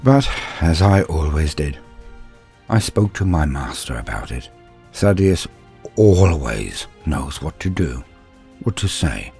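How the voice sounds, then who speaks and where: A man playing an earnest, deferential young male apprentice, telling his story in a measured, confiding, introspective way. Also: very deep voice, big pitch swings, gravelly, a little mellow, soft background music, voice pushed down, full character voice. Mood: troubled, devoted. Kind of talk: first-person dramatic storytelling.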